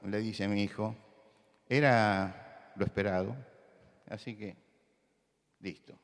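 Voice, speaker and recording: Emphatic Buenos Aires preacher, low voice. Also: male voice, fairly even intonation, slow, long reverb tail. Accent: Rioplatense Spanish. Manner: emphatic, repeats key words, rises to loud insistence. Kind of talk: sermon talk with anecdotes. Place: church hall.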